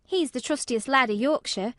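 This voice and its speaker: A woman's voice: high-pitched, moderate pace, fairly bright tone, dry recording, studio quality. A woman voicing a prim, forthright nine-year-old girl in an audiobook dramatic reading, direct and rather cool. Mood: eager.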